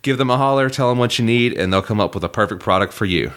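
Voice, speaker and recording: A young man with a scratchy throat, co-hosting a casual podcast and explaining things in a measured way. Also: mid-range voice, a bit bright. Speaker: podcast co-host.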